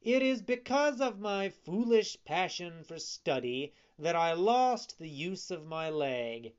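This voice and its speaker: A narrator voicing a sly, scornful fox swindler in a children's story, smooth-talking and insinuating. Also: mid-range voice, animated, takes it slowly, lo-fi sound, voice pushed up.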